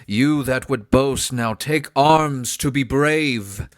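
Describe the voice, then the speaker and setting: A man's voice: medium pitch, slow pace, dry recording, clean studio sound, natural voice. A man playing a stern, honourable duke in an audio drama, speaking with authority in formal, rhetorical period diction. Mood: rousing, commanding. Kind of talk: stage-play acting in an audio drama.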